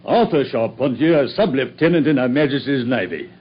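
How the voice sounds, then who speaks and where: An actor playing a boastful, self-satisfied Victorian police inspector with a British accent, husky and excitable, in a radio drama. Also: male voice, mid-range voice, normal speed. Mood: triumphant, gloating. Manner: pompous, excitable. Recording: muffled radio recording.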